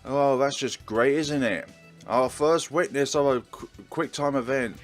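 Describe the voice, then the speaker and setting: A man's voice: mid-range voice, unhurried. A man hosting a let's play, reading a visual novel aloud with casual commentary. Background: music.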